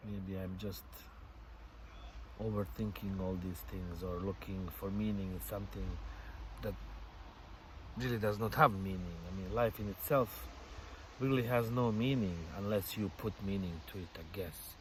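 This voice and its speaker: Middle-aged guy thinking out loud, lowish voice, lots of outdoor noise and traffic behind him. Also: fairly even intonation, normal speed, rough recording. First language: Bosnian. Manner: rambling and musing, with many "you know" fillers and soft laughs. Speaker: vlogger. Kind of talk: casual reflective monologue. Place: outdoors on a bench by a lake.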